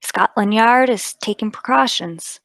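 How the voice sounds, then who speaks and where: A woman voicing a brisk, calm, businesslike police inspector in a radio drama. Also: a little high, no echo. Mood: calm, official. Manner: businesslike, clipped.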